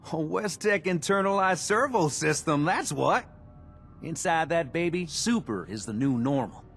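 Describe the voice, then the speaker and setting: A keen game tinkerer with a fairly high, very lively voice. He is folksy and enthusiastic, with soft music behind him. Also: unhurried, creaky, heavily acted. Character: keen, wry handyman tinkerer showing off salvage. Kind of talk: video game dialogue.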